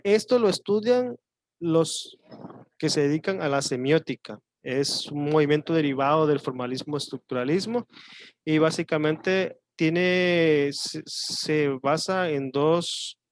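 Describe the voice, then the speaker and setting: A man teaching an online class in coastal Mexican Spanish, his voice sitting a bit high for a man. He explains patiently, thinking aloud with little fillers and pauses. The sound is dry and close, with no room around it. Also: dry recording.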